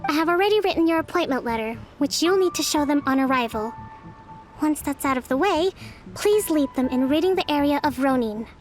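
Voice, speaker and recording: A young resistance leader in a video game, high-voiced and composed. She speaks in a measured, formal, earnest way, gentle but resolute, with music underneath. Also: expressive. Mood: calm, encouraging. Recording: light music behind the voice.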